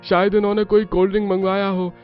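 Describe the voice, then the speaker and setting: A man's voice: sits high, flat delivery, fast talker, lightly acted. A man playing an eager, freeloading Indian family man in a cartoon. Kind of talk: Hindi family cartoon dialogue. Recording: dull lo-fi sound over music.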